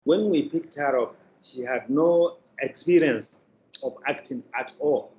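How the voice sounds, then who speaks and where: An older man with a Nigerian accent answers interview questions formally and deliberately. His pitch is ordinary for a man. The sound is a dull video call with faint noise behind it. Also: a little mellow. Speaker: guest, media company executive. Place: indoor room, video call.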